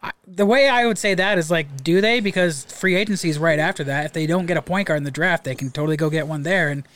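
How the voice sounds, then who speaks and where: A man's voice: fairly high pitch, quick pace, a bit bright. A man guesting on a podcast, earnestly explaining his views in casual sports talk.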